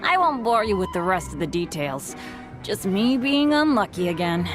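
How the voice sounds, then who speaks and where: A woman's voice: medium pitch, sing-song, normal speed, music in the background, close to an everyday voice. A woman voicing an earnest, unlucky, good-natured teenage boy adventurer in an anime game, youthful and eager to please. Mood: dejected. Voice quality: youthful, boyish. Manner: eager, apologetic.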